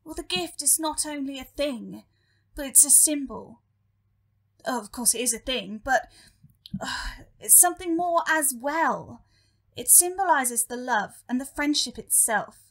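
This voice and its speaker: A meek little demon underling, acted by a woman for a comic audio play. The voice sits a bit high and moves slowly, hesitating with earnest care over each thought. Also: lively intonation, a bit bright, exaggerated voice. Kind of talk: comic audio drama acting.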